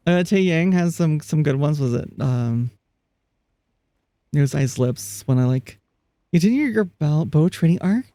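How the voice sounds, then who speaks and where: Live streamer, casual chat, gravelly edge, dry close sound. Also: mid-range voice, dark tone, no echo. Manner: chatty, laughs often, reads chat aloud; frequent restarts and fillers.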